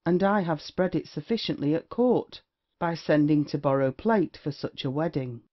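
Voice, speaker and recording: A performer playing a witty, lewd, cynical gentleman rake in an audio play of a period comedy. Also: mid-range voice, lively intonation, moderate pace, close to an everyday voice. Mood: brisk. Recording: dull, lo-fi audio.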